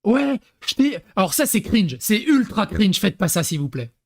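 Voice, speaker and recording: A man's voice: high-pitched, very animated, normal speed, dry recording, studio quality. A young man from Paris, telling casual anecdotes to his channel with many fillers and restarts. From Paris, France. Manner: rambling, lots of fillers and restarts, laughs at own stories. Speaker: YouTuber. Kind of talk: casual storytelling to camera.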